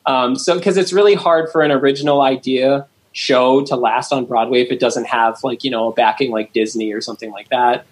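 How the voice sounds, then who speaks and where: A man co-hosting a podcast, chatting easily among friends. He talks in loose, rambling sentences packed with ums and likes, at an average pitch. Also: steady tone, a little fast, few pauses. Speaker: podcast co-host. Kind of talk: casual chat.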